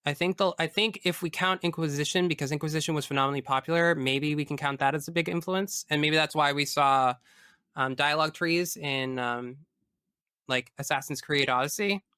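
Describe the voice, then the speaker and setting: A man with a bright, crisp voice talks casually as a podcast guest. He is opinionated and emphatic and swears freely. The recording is dry and close. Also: fairly high pitch, fairly even intonation, a little fast, dry recording. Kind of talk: casual chat about video games.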